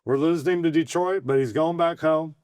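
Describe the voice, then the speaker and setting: A middle-aged man with a hoarse, fairly high voice rambles along in folksy, excitable bursts, stopping and restarting his sentences. The sound is dry and close, with no room echo. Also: fairly quick. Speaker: host and bar owner. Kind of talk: casual podcast chat.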